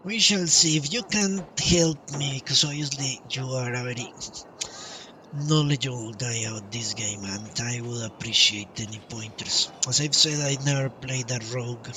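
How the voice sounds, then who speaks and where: A man livestreaming a video game with casual commentary, thinking aloud in a halting way. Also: medium pitch, recorded from a distance, background noise. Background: game music.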